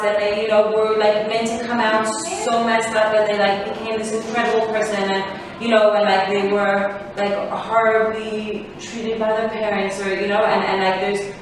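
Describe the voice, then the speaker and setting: A woman in her thirties, born in Israel and raised in South Africa, giving a rambling personal talk to parents with many fillers and restarts. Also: medium pitch. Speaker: guest speaker. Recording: echoey hall from far off, faint noise.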